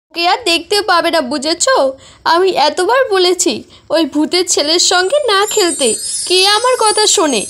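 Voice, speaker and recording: A woman voicing a distraught village mother in an animated moral story, accusing and insistent. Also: very high-pitched, normal speed. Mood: upset, scolding.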